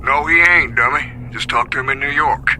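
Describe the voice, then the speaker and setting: An actor in a radio drama playing a bullying, impatient gangster with an American accent. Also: male voice, medium pitch, moderate pace, pushed and bright. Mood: sceptical. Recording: clear background noise.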